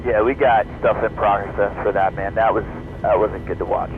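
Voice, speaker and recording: A man's voice: fairly low pitch, fairly even intonation, moderate pace. A man who is an airline pilot, talking casually over aviation radio. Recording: tinny, noisy cockpit radio transmission.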